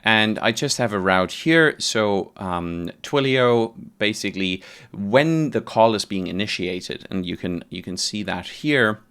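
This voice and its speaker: A man presenting a casual software tutorial, explaining things step by step in an informal, conversational way. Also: fairly low pitch, lively intonation, a little slow, clean tone. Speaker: developer advocate presenting a tutorial.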